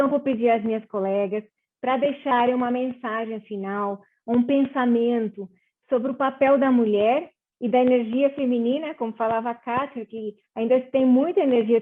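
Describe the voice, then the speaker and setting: A woman from Portugal speaks with a Portuguese accent that carries Brazilian tones. Her voice sits a little high, and she talks in an earnest, measured way with small pauses. The sound comes over a thin, phone-like video call line. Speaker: panel moderator. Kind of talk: reflective panel talk.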